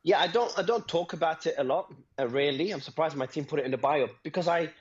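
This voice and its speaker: A man from Australia, an entrepreneur guest, talking fast, repeating words and laughing between phrases. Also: a little high, dull audio. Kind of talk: conversational interview.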